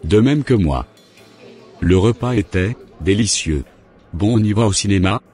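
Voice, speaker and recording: A man playing a wayward, sheepish young swindler with a husky voice in an amateur cartoon, music behind him. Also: on the low side, lively intonation, measured pace, darkish tone. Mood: pleased. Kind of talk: amateur animated drama acting. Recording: background music.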